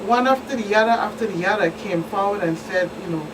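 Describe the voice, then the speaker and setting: A woman with a Virgin Islands Caribbean accent explains things emphatically as a police guest on a talk show, often repeating phrases. Her voice is mid-pitched. She sounds distant from the microphone, with clear room noise behind her. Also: fast talker. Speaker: police commander, guest expert. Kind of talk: talk show interview, recounting cases. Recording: distant mic, noisy room hum.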